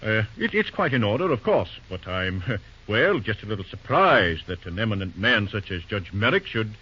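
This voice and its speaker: A man's voice: fairly low pitch, sing-song, normal speed, bright, forceful tone, husky, full character voice. A man playing a pompous, formal railroad president, easily flustered, in an old-time radio drama. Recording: dull noisy old radio recording.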